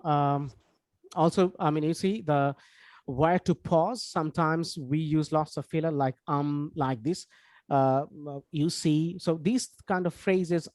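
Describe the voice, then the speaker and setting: Bangladeshi guy teaching an online class, bengali native, slightly high voice, halting n repetitive, dry close sound no echo. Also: darkish tone. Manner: halting, repetitive explaining with frequent "I mean" asides. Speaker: English course instructor. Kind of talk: informal online lecture.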